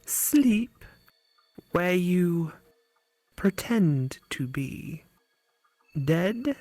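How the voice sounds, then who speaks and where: A woman voicing a skull-faced female app creature in a visual novel, speaking in slow, hushed, broken phrases. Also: on the low side, big pitch swings, no echo, faint music behind, exaggerated voice. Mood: halting, eerie.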